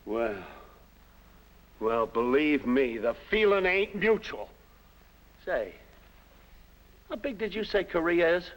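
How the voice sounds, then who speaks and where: A man's voice: a little high, very animated, slow pace, pauses often, rough recording. A male actor playing a blustering, slangy American army sergeant in a 1950s film comedy. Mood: disgruntled. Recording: old 1950s film soundtrack with music.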